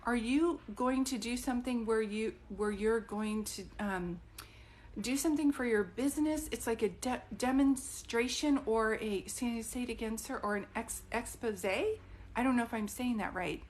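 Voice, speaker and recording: Middle-aged woman, psychic on a casual live stream, chatty and fairly high-pitched, restarts her words as she goes. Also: normal speed, a little mellow. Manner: chatty, thinks aloud, stops to restart words. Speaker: psychic medium.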